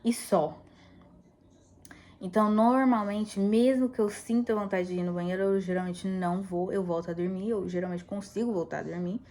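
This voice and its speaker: A woman's voice: medium pitch, fairly even intonation, normal speed, mellow. Chatty woman rambling in a casual vlog. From United States. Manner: chatty, rambling, laughs often. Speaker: vlogger. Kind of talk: casual chat.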